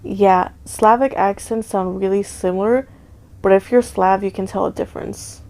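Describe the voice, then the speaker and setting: A woman reading as a calm, unbothered man. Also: high voice, normal speed. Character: calm, reassuring adult man.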